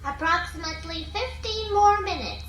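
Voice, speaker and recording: An actress playing a chatty, petulant ship's computer with a silly voice and a breezy, officious manner in a radio science fiction comedy. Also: female voice, very high-pitched, moderate pace, a bit bright, roomy sound, distant mic, slight background noise. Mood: coy. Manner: breezy, officious, matter-of-fact.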